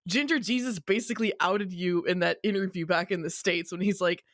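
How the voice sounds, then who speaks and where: A podcast co-host recapping a story in casual group chat, sardonic and quick to swear. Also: mid-range voice, a bit bright, no echo, clean studio sound.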